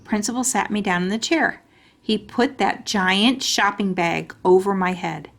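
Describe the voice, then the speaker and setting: A woman storyteller reading a children's book aloud in expressive narration. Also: mid-range voice, unhurried.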